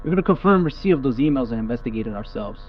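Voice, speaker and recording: A man's voice: medium pitch, animated, racing, music in the background. A man playing a procedural patrol officer reporting a scene to dispatch over police radio, clipped and matter-of-fact. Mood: official, matter-of-fact. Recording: muffled police radio, humming static noise.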